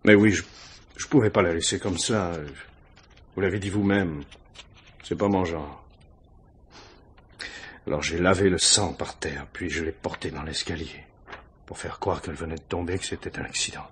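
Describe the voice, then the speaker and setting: Casting: middle-aged husband confessing to police, low and very husky, hesitant, self-justifying; vintage radio crime drama. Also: male voice, moderate pace, pauses often. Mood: anxious, pleading. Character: anxious married man confessing to a police inspector. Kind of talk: 1950s French radio crime drama acting.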